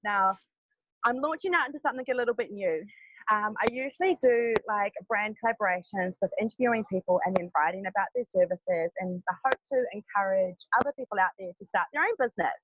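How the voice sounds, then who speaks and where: A woman's voice: medium pitch, quick pace. Woman interviewer, friendly, hesitant, dull lo-fi sound, faint hiss. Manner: friendly, hesitant, many fillers. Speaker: interviewer, host. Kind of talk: casual interview hosting.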